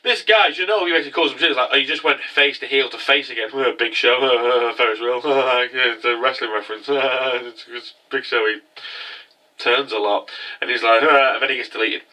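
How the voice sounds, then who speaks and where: A man's voice: mid-range voice, normal speed, pushed and bright, far from the mic, rough recording. A young man with a cold, hosting a profane, rambling casual review show. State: congested from a cold. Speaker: anime review host. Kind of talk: casual recap commentary.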